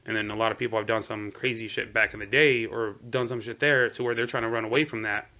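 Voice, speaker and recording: Podcast guest, male, from Seattle, first language Romanian. Quick, bright delivery, loose rambling talk full of fillers. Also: on the low side, steady tone. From Seattle, United States. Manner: rambling, many fillers like "you know". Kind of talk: casual podcast conversation.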